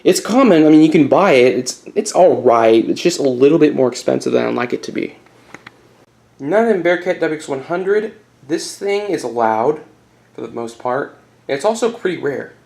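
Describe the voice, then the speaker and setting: A man's voice: on the high side, lively intonation, normal speed, gravelly, a little mellow. A man giving a casual, unscripted show-and-tell as a hobbyist video host, rambling a bit and correcting himself with mild enthusiasm.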